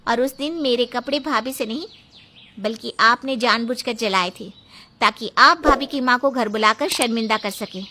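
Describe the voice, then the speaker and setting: A woman's voice: fairly high pitch, normal speed, background noise, natural voice. A woman playing an earnest, reproachful woman in a dramatized family moral story, questioning as she speaks. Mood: earnest confrontation.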